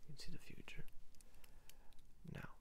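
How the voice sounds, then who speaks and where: A man playing a rambling, shady salesman of magical rings in a hesitant, offhand, soft-spoken ASMR roleplay. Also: normal speed, lightly acted. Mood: coaxing, patient.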